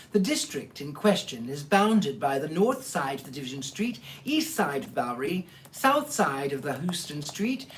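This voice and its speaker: A man hosting a livestream as a storyteller, moving between dramatic live reading and casual asides to listeners. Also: high voice.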